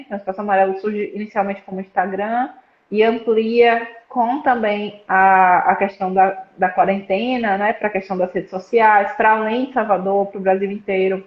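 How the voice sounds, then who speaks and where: A young woman from northeastern Brazil, a psychology student, explaining her suicide prevention work in a casual live-stream chat, with frequent "né" tags. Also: mid-range voice. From Petrolina, Pernambuco, Brazil. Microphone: video call. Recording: roomy, dull lo-fi live stream audio.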